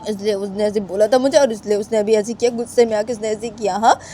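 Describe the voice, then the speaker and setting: A woman voicing a protective young girl in a story, pleading softly and repetitively. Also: mid-range voice, fairly even intonation, quick pace, a little hiss or hum, voice pushed up, natural voice.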